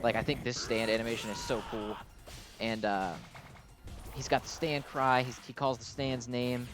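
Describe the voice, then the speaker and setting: Man, mid-pitched voice, casual video game commentary, hesitant and rambling, unscripted, music faintly behind. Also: level tone, moderate pace. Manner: hesitant, rambling, often hedging his opinions.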